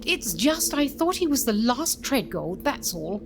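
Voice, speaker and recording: A woman's voice: fairly high pitch, very animated, unhurried, background noise. A woman playing a prim, earnest governess in an audio drama, anxious and politely proper. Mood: troubled.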